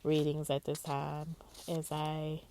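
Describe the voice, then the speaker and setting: A woman with a nasal voice, stuffed up from congestion, talks slowly and unhurriedly through a card reading. Her voice sits a little low, and she keeps tagging her thoughts with a soft "okay?" to the viewer. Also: monotone. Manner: slow and drawn-out, with frequent "okay?" check-ins. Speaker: psychic tarot reader. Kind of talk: casual spiritual card reading.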